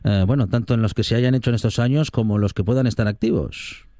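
A man hosting a radio interview, asking measured questions with some fillers. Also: mid-range voice, background noise.

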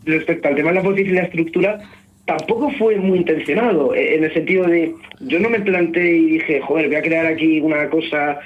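A man's voice: on the high side, brisk, slight background noise. A young man from Spain, a novelist guest in a radio interview, chatty and self-mocking, often restarting his sentences. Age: young adult. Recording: muffled radio broadcast.

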